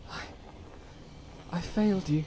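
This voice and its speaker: An actress voices an earnest warrior of faith in a fantasy game. She speaks slowly in a slightly low voice, formal and solemn, with halting phrases that drop into whispers. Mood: anguished, guilty. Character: devout warrior woman, guilt-ridden, earnest, solemn. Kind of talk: dramatic video game mod voice acting.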